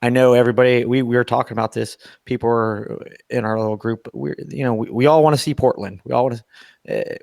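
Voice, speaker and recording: A hoarse Midwestern man chatting on a fan podcast, his voice gravelly with fry. He rambles and circles back on his words but stays upbeat. The recording is dry and close. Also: medium pitch, fairly even intonation, fast talker, a little mellow, dry recording. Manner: rambling, repeats himself, upbeat. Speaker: podcast co-host. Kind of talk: casual chat.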